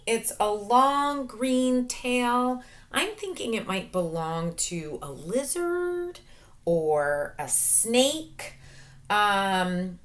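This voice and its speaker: Bright, lively woman teacher reading aloud. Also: mid-range voice. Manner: expressive, slow, sing-song questions to young kids. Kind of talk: children's read-aloud lesson.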